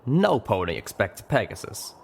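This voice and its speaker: A man's voice: on the low side, big pitch swings, moderate pace, gravelly, slight background noise. A man voicing a cheerful, cocky pegasus scout with folksy, ungrammatical speech in an audiobook.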